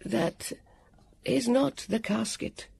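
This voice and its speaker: A storybook narrator voicing a tall, skeletal male guardian who speaks slowly, sadly and apologetically. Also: medium pitch, gravelly, darkish tone, husky, no room sound, pitched above the performer's own voice, full character voice. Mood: mournful. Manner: slow, mournful, apologetic.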